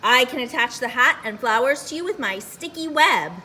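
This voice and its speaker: A woman's voice: high-pitched, expressive, normal speed, bright, forceful tone, pitched above the performer's own voice. A woman voicing a helpful but sly, hungry spider in a children's picture book, speaking with enthusiasm.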